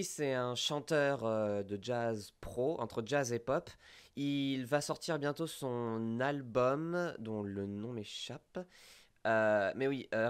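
Smooth-voiced man, casual creator outro. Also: mid-range voice. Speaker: video creator. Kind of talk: casual thank-you outro.

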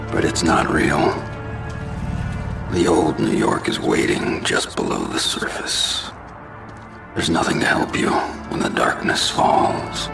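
An actor playing a brooding, hard-boiled vigilante in a noir video game, speaking in a terse, deadpan, breathy growl. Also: male voice, slow pace, heavily acted. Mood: brooding, ominous. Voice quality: low gravelly, breathy growl. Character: grim, brooding hard-boiled male vigilante with a dark temper. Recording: echoey, under loud eerie music.

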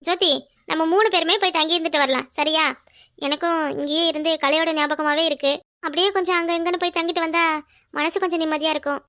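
A woman playing a kindly middle-aged mother-in-law in a domestic drama, gently chiding and coaxing. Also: extremely high pitch, steady tone, fast talker, no echo, clean studio sound. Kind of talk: domestic serial drama acting.

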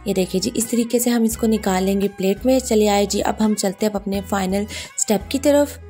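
A woman hosting a home cooking video, guiding each step in a steady voice-over and often repeating "ye dekhiye ji". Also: medium pitch, brisk, soft background music. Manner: warm, reassuring, repeats "ye dekhiye ji" and "ji janab". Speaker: cooking channel host.

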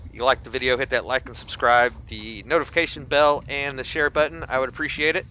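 A man's voice: medium pitch, fairly even intonation, fast talker, bright, forceful tone, low-quality recording. A man giving casual game commentary as host of a gaming video, with game ambience behind him. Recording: clear background noise.

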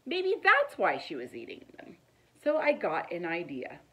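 A middle-aged woman reads a children's picture book aloud in an expressive, deliberate storytelling manner. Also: fairly low pitch, low-quality recording. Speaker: teacher reading aloud. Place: living room, indoors.

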